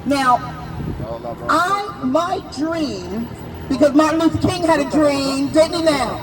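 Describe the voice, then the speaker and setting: A woman in her sixties giving an impassioned rally speech outdoors, declaiming in drawn-out phrases and shouting key words for emphasis. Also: high-pitched. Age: 60s. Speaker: rally speaker. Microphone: unamplified, distant camera. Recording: distant, echoey outdoor recording with crowd. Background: crowd noise.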